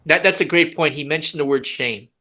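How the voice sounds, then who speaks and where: A man hosting a podcast discussion, talking earnestly in halting bursts with many restarts. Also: on the high side, sharp tone. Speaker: podcast host. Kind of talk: casual discussion.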